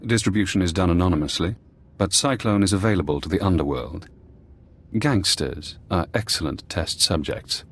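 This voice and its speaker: Imprisoned intelligence analyst, low male voice, measured, cryptic, quietly paranoid game character. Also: husky. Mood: calculating. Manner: measured, cool, cryptic instructions. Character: paranoid, calculating imprisoned former spy analyst, adult man. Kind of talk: acted video game dialogue.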